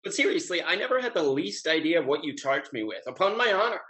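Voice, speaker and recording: A man's voice: fairly high pitch, sing-song, fast, sharp tone. A young male actor playing a breezy, irreverent rake of a younger man in a jaunty period stage comedy. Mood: amused, mocking. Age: young adult. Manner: jaunty, mocking period speech. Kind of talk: Restoration-style stage comedy over video call. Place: home room with bookshelves, video call.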